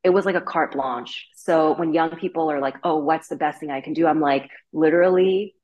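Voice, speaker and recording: A woman in her thirties with a Canadian accent tells her story as an interview guest. Her voice sits low, and she talks in a loose, candid way, leaning on fillers like "like" and "you know.". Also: moderate pace, darkish tone, muffled recording. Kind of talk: casual conversational storytelling.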